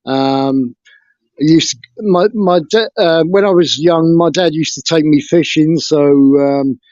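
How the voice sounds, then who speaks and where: Man, higher voice, dull call audio. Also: unhurried, darkish tone, dry recording. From England. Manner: frank, hesitant recounting of his life. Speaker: interview guest. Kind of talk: casual interview talk.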